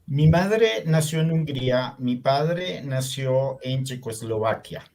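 An older man from Venezuela, whose was Hungarian, telling his story in an interview in a reflective, unhurried way with many fillers. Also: mid-range voice, a little mellow. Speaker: artist guest. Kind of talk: conversational interview.

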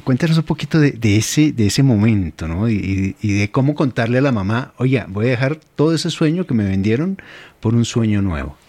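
A middle-aged man hosting a radio interview, reflective and halting, with frequent fillers. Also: mid-range voice, animated, a little mellow. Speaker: radio host.

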